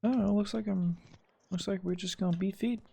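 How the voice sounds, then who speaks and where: A man's voice: high-pitched, steady tone, fairly quick, vocal fry, warm tone, slight background noise. A man giving casual, playful, rambling gameplay commentary as a streamer. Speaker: gaming streamer. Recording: music behind the voice. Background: music.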